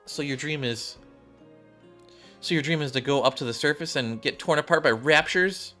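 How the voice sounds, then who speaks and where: A man's voice: on the high side, moderate pace, sharp tone, soft background music, voice pushed up. A man voicing a mocking, dismissive child in a video game story. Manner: taunting, dismissive. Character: mocking, sneering child in a crowd of kids.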